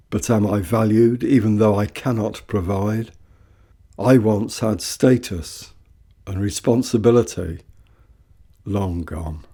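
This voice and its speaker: A man recites war poetry in a soft, dark tone. His delivery is very slow and solemn, with measured, deliberate phrasing. The voice sits fairly low.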